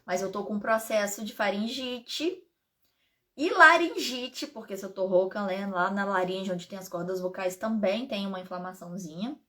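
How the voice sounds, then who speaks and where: A Brazilian woman, an integrative therapist and pharmacist, chatting casually to live-stream viewers with a slightly hoarse voice and frequent asides. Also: mid-range voice, moderate pace, bright, forceful tone.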